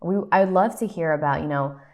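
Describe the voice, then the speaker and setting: A woman's voice: sits low, brisk, darkish tone. A woman hosting a podcast, asking questions in an enthusiastic, encouraging way. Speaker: podcast host. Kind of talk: interview questions.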